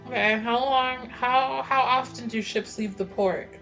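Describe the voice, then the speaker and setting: A woman's voice: on the high side, measured pace, a bit bright, faint music behind, low-quality recording. A woman chatting casually and bluntly as a player in a tabletop roleplaying game. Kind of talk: casual planning chat.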